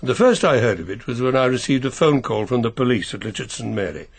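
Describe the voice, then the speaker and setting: An actor playing a proper, reserved older English family solicitor, precise and a little hesitant, in a radio mystery drama. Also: male voice, mid-range voice, big pitch swings, quick pace, vocal fry, slightly rough, heavily acted. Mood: grave, confiding.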